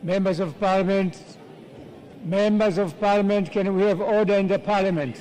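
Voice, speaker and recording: A man's voice: sits high, steady tone, moderate pace, a little mellow, slight background noise, rough recording. A man making formal procedural announcements as chair of a parliamentary session.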